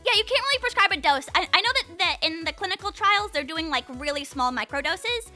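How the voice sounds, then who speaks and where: A young woman hosts a casual livestream chat in a very high, strikingly bright voice. Her intonation moves around a lot as she rambles playfully from one thought to the next, talking to her viewers. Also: expressive, brisk, soft background music. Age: 20s. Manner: playful, rambling, says "like" constantly. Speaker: livestream host chatting with viewers.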